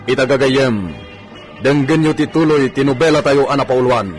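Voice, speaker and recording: A man's voice: mid-range voice, moderate pace. Male narrator for a radio soap, scripted storytelling delivery to listeners, faint music underneath.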